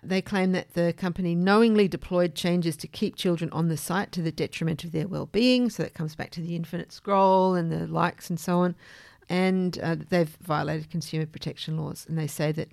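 A woman hosting a podcast, explaining things in a measured way with informal asides. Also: lowish voice, gravelly, darkish tone, no echo, studio quality. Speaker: podcast host.

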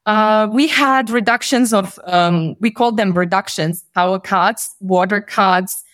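A woman from Bosnia speaking as a podcast guest, answering conversationally in a reflective, halting way and often rephrasing as she goes. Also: mid-range voice, a bit bright.

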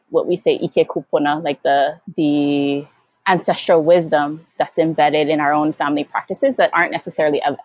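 A woman from Hawaii speaking reflectively as an interview guest, thoughtful and halting as she searches for words. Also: sits low, darkish tone, no room sound. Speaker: guest, Hawaiian educator and activist.

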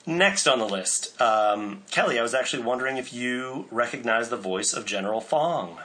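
A man's voice: mid-range voice, animated, moderate pace, bright, forceful tone. A man co-hosting a podcast in casual chat, informative and enthusiastic, with many fillers. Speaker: podcast co-host presenting cast info.

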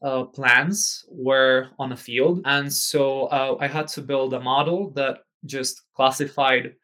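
A man's voice: mid-range voice, steady tone, slow, bright, forceful tone. A young man from the Czech Republic with a light Eastern European accent, speaking English as a non-native language in a casual interview, full of fillers and restarts. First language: Czech and Spanish. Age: 20s. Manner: hesitant, many fillers and restarts. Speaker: guest.

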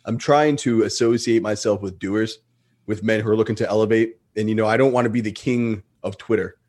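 Male podcast host, fairly low voice. Casual, informal, affirming conversational delivery. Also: steady tone, a little fast, darkish tone. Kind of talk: casual chat.

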